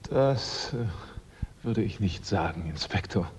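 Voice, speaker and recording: A man playing a self-assured amateur sleuth in a German radio drama, speaking politely and deliberately. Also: lowish voice, expressive, darkish tone. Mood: composed, knowing. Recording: dull radio recording, faint noise.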